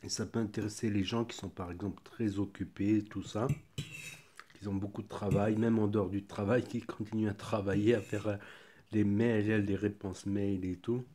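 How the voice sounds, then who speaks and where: A man with a stuffy cold talks through a food tasting at his kitchen table. His voice sits a little low, and he keeps a loose, thinking-aloud manner full of small fillers. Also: level tone, normal speed, warm tone, a little hiss or hum. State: has a cold. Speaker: food reviewer. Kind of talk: casual product tasting review.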